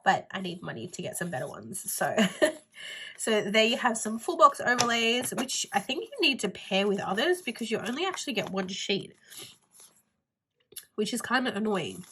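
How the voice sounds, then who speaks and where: A woman's voice: medium pitch, sing-song, normal speed, sharp tone, a little hiss or hum, poor audio. Stuffy nosed woman chatting about her planner stuff, kinda nasal, rambly, lots of like. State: stuffy nose, sniffly. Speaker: planner vlogger. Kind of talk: casual haul video chat.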